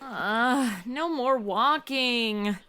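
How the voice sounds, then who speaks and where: A woman's voice: a little high, drawn-out words, bright, forceful tone, slight background noise, heavily acted. An actress playing a spoiled modern city woman stuck in the Old West, sarcastic, blunt and complaining, in a comedy audio drama. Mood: exasperated.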